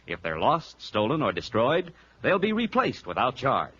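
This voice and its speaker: Bright, dramatically inflected male radio announcer. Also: mid-range voice, huge pitch swings, moderate pace, slightly rough. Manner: polished, persuasive salesmanship. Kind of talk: 1940s scripted radio announcing. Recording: muffled, faintly noisy vintage radio recording.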